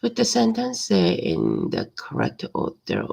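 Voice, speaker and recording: A woman reading a simple story aloud in a halting, hesitant way, often repeating words. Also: mid-range voice, huge pitch swings, gravelly, a little mellow, slightly rough. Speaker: language learner reading aloud.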